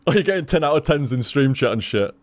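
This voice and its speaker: A man's voice: mid-range voice, lively intonation, moderate pace, dry recording. Swearing Yorkshire man chatting to stream. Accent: Yorkshire, softened. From Leeds, Yorkshire, England. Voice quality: swears freely, laughs often, repeats himself. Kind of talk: casual rambling stream chat.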